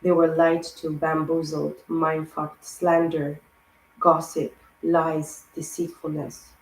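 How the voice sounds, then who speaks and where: A woman giving a rambling spiritual tarot reading, hoarse and losing her voice, piling up words in long lists. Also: low-pitched, level tone, takes it slowly, reverberant, a little hiss or hum, low-quality recording. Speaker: tarot reader.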